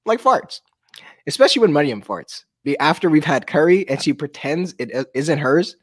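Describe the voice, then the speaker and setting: Male storyteller, very animated, dry sound, jokey informal read-aloud. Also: a little high, normal speed, no echo.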